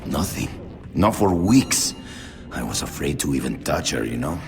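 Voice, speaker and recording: A brooding, hot-blooded tough hero from a video game, with a deep Mexican-accented voice. He speaks gruffly and bluntly with animated swings, in long reverb. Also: moderate pace, over music, full character voice. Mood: brooding, tender. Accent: Mexican Spanish. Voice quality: gravelly. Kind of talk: scripted video game acting.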